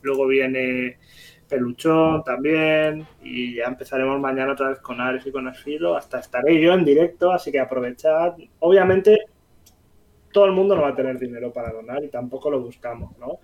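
A Spanish man co-hosting a casual livestream podcast, excitable and eager to thank viewers. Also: mid-range voice, moderate pace, low-quality recording. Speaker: podcast co-host.

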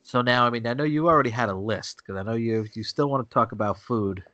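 A man's voice: mid-range voice, fast, bright, forceful tone, dry recording, lo-fi sound. A man with a stuffy nose from a cold, chatting bluntly and with strong opinions, often repeating himself. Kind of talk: casual chat. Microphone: remote call connection.

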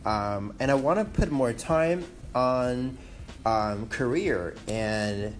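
Slow, hesitant man with a Taiwanese accent. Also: medium pitch. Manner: hesitant, many ums, self-conscious. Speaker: podcast host. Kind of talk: casual solo podcast talk. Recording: faint background noise.

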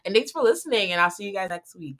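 A young woman hosting a podcast in casual, slangy conversation, often jumping in with lively interjections. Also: mid-range voice, fast talker. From Nigeria. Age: young adult. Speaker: podcast host. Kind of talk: casual chat.

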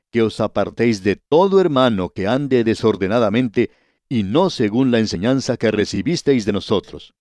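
A man's voice: medium pitch, darkish tone, dry recording. Earnest male radio Bible teacher. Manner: earnest, addresses listener as friend repeatedly. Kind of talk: scripted radio Bible study.

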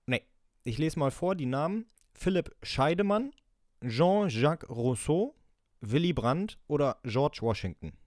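Animated man quizzing his co-host. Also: fairly high pitch, measured pace, no room sound, clean studio sound. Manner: lively, laughing, reads quotes aloud. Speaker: podcast co-host running a quiz. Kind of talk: casual podcast chat.

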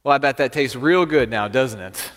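A man's voice: a little high, very animated, a little fast, pushed and bright, slightly rough, full character voice. A man voicing a proud, sarcastic farmer in a folksy, scolding manner while telling a story. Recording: long reverberant hall.

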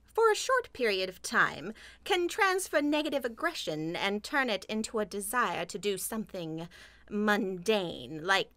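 Actress as sly cheerful witch merchant, lively swooping pitch, unhurried, theatrical, comic radio play, dry close sound. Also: no room sound. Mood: airy, sly. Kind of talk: comedic audio drama acting.

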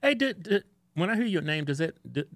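A playful podcast co-host. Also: male voice, high voice, big pitch swings. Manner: teasing, playful, quick to laugh. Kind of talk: casual podcast chat.

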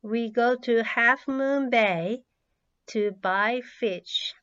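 A woman who is a native Japanese speaker, teaching English by reading out words and sentences with deliberate, measured enunciation for learners. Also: a little high, a bit bright. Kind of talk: language drill, reading words and sentences twice. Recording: slightly dull, lo-fi audio.

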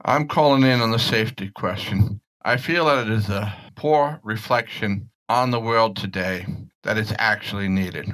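A man with a somewhat low voice calls in to a podcast. He states his opinion plainly and briefly, in a matter-of-fact manner. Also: fairly bright tone, rough recording. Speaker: podcast call-in guest.

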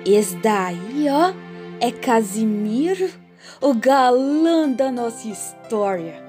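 A folksy woman narrator, played by an actress tells a comic radio serial slowly in a fairly high voice. Her rural Brazilian accent and wry, theatrical delivery sit over clear music. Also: animated, mellow. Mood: wry, dramatic.

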